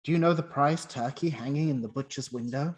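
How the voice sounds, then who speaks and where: Man playing a loud, exultant reformed old miser. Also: mid-range voice, a little fast, lightly acted. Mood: overjoyed, eager. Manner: exclamatory, emphatic delivery. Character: reformed old miser, overjoyed, booming and generous. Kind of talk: amateur radio play acting.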